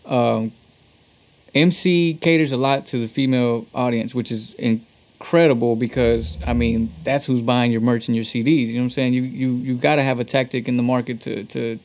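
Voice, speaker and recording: A man's voice: medium pitch, steady tone, normal speed, a little mellow. A man from Texas, a musician, telling stories in a casual interview in laid-back, slangy street talk. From Texas, United States. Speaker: musician, talk box artist being interviewed. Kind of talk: casual interview, anecdotal storytelling.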